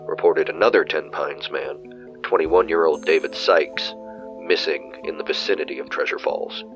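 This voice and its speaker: A man acts out a government inspection agent from an audio drama, calm and officious. His voice is low and his delivery formal and deliberate, like dictation for an official record. The recording is dull and lo-fi, with faint music.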